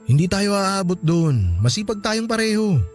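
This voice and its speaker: An actor playing a meek, apologetic young Filipino husband in a radio drama, hesitant and pleading. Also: male voice, high-pitched, big pitch swings, normal speed, faint music behind. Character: meek, apologetic young husband, soft-hearted toward his brother.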